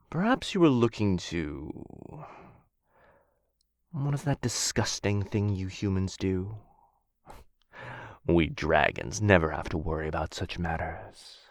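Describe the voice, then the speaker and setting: A man's voice: deep voice, gravelly, dry recording, studio quality, heavily acted. A man playing a smug, menacing, possessive dragon captor in a fantasy ASMR roleplay, drawling condescendingly and theatrically. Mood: taunting, smug.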